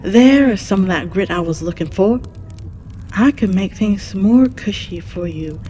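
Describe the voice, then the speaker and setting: A woman's voice: medium pitch, lively intonation, unhurried, mellow, a little hiss or hum, lightly acted. A woman playing a sly, teasing devil in an audio roleplay, drawling with amused taunts as they bargain for a soul. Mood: taunting, amused.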